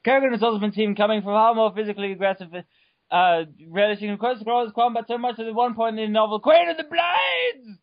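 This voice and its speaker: A man giving a mock-dramatic reading of an article, over the top, bursting into shouts and stumbling over words. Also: high-pitched, lively intonation, quick pace, dry recording, lo-fi sound. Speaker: reader.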